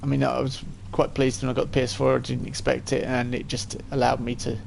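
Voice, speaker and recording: A man's voice: medium pitch, monotone, brisk, vocal fry, darkish tone, slight background noise. A man in his forties giving casual live-stream commentary as he plays, chatting and thinking aloud. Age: 40s.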